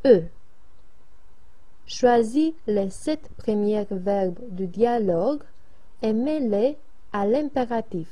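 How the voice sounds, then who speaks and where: A woman slowly reading French lesson exercises aloud in an even, careful way. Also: fairly high pitch. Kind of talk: slow read-aloud of dialogues and exercises.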